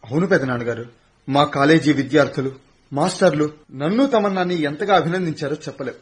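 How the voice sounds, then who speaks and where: An actor in a radio play voicing a young college student, the son of a fallen soldier, speaking in an earnest, respectful way. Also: male voice, a little high, a little fast, lightly acted.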